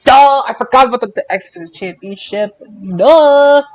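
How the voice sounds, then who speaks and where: A man's voice: very high-pitched, moderate pace. A man hosting a podcast in a casual style, with faint background noise. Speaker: podcast host.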